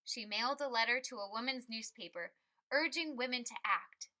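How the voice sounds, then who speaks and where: A woman's voice: fairly high pitch, moderate pace, bright, forceful tone. A woman reading a picture book aloud expressively, with emphatic, dramatic phrasing and stressed key words. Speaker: read-aloud storyteller.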